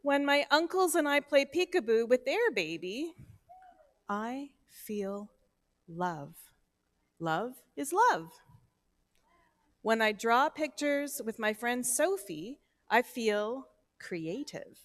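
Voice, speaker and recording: A woman reading a picture book aloud to children at a church service, gentle and expressive. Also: fairly high pitch, slow, pauses often. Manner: gentle, expressive storybook delivery. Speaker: children's story reader. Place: church sanctuary, no microphone at first.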